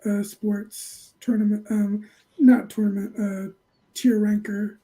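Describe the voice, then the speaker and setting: A woman chats casually as a panelist. Her voice sits at an ordinary pitch and has a strikingly dark, mellow tone without any sharp edge. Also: flat delivery, slow, poor audio. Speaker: podcast panelist. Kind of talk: casual chat.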